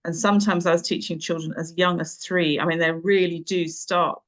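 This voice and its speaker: A woman's voice: lowish voice, fairly even intonation, brisk. Woman in her fifties, reflective. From Nairobi, Kenya; boarding school in England. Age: 50s. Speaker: interview guest, English teacher and tutor. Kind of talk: conversational career reminiscence.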